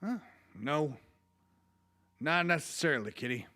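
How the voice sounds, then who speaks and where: A man playing a measured, watchful western marshal in a radio drama, noncommittal in manner. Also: a little high, lively intonation, bright, forceful tone. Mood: measured, curious. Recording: guitar music behind the voice.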